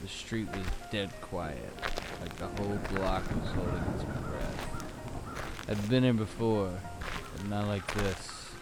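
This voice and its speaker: A weary hard-boiled detective acted by a man narrates a noir mystery in a low, gravelly voice over music. He takes his time, brooding over short, clipped phrases. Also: poor audio. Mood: tense, brooding. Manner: brooding, terse, deliberate. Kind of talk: noir audio drama narration. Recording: music under the voice.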